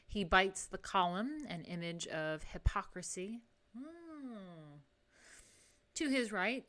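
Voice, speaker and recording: A woman's voice: medium pitch, a bit bright. A woman with a New York accent reads an art history book aloud to a live audience and breaks off for wry personal asides. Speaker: host reading an art history book to viewers. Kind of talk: casual livestream reading and commentary.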